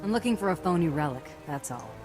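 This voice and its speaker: Woman acting low, fast, cocky bounty hunter. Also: expressive, music in the background, lightly acted. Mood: brusque. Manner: flippant, dry, confident. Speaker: player character in a video game. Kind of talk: scripted game dialogue.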